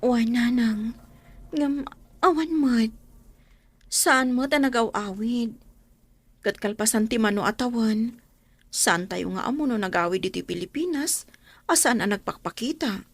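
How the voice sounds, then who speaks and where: A woman's voice: a little high, unhurried, long pauses, no echo. A woman playing a wronged, struggling mother in a radio drama, speaking with an Ilocano accent. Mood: hurt, resigned. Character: Marlyn, long-suffering wronged wife and struggling mother.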